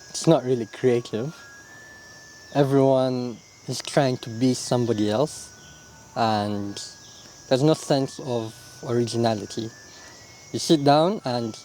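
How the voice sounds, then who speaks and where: Young man, mellow voice. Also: mid-range voice, a little hiss or hum, low-quality recording. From Uganda. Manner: relaxed, reflective, many "yeah?" and "you know?" tags. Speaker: interview guest, illustrator. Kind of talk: casual interview answers.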